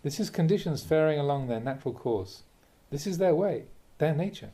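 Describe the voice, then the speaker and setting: Mellow-voiced man reading a Dharma talk. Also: on the high side, lively intonation. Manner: measured, emphatic, with rhetorical questions. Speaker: Buddhist monk reading a teacher's talks aloud. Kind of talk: reading a translated Dharma talk aloud, with brief asides.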